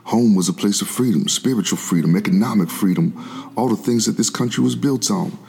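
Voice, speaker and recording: Solemn, low-voiced old-time preacher. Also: male voice, brisk, creaky, darkish tone, slightly rough. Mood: solemn, earnest. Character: formerly enslaved 18th-century preacher recounting his life, earnest, devout. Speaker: narrator in a gospel oratorio. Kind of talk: scripted first-person dramatic monologue.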